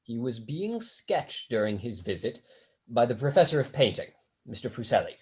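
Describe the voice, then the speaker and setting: A young man acting a courteous, drily witty tutor in a staged reading, formal and deferential, with a husky voice. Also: medium pitch, big pitch swings, normal speed. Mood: polite. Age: young adult. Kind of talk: staged reading of a period comedy.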